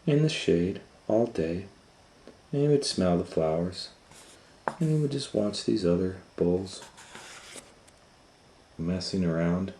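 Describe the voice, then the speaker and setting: A man's voice: low voice, mellow. A man reading a children's story aloud in a conversational way, explaining things and putting questions to his listeners as he goes. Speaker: storytime reader and educator. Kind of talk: animated children's read-aloud with asides. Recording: echoey room, faint noise.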